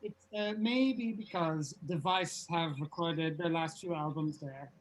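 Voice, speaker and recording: A woman's voice: low-pitched, a little slow. Breezy bandleader, played by a woman, noisy recording. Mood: matter-of-fact. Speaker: table read participant. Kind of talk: amateur script read-through.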